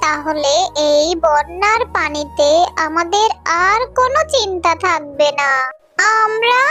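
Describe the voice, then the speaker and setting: A performer voicing a frightened, pleading little girl fox cub in a children's cartoon, with plaintive, drawn-out appeals. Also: very high voice, sharp tone, heavily acted. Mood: eager, coaxing.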